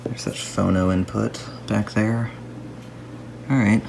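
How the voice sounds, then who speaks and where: A man narrating a casual hands-on restoration video as a hobbyist, musing aloud to himself in an unhurried way. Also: fairly low pitch, fairly even intonation, gravelly, background noise.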